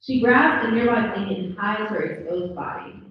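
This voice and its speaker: A woman's voice: medium pitch, moderate pace. A woman reading scripted stage directions as narrator at a live table read. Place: live indoor table read at a mixer event. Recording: slightly dull, very echoey, distant pickup.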